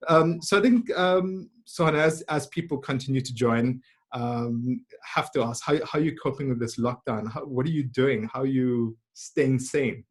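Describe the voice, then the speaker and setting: A man's voice: medium pitch, moderate pace, slightly rough. A man hosting a webinar interview, asking friendly questions and often repeating his words. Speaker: webinar host. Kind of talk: casual interview. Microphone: video call.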